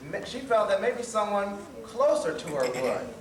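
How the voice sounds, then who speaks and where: A man's voice: sits high, very animated, normal speed, recorded from a distance, crowd noise. A man playing a storyteller who narrates a children's tale in a scripted stage show. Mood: storytelling. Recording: echoey, noisy hall from afar.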